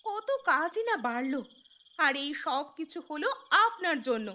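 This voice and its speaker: Cartoon daughter-in-law played by a woman: young hardworking housewife, very high, bright voice, easily exasperated. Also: lively intonation, moderate pace. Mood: exasperated, complaining. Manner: complaining, scolding. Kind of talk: cartoon voice acting. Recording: music behind the voice.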